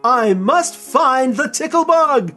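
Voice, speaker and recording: A man voicing an excitable zookeeper in a children's storybook, exclaiming urgently. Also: very high-pitched, takes it slowly, soft background music, voice pushed up, full character voice. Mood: alarmed. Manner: exclaiming, urgent, stretched words. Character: excitable zookeeper alarmed over his lost bug.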